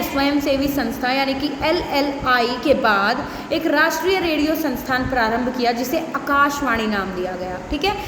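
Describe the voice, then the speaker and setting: A woman teacher with a distinctly high voice. She reads her notes and then explains them again, often checking that listeners follow. The recording rings with long room reverb and has some hiss behind it. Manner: explanatory, with frequent check-in tags and restatements. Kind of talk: educational lecture, reading notes and explaining them.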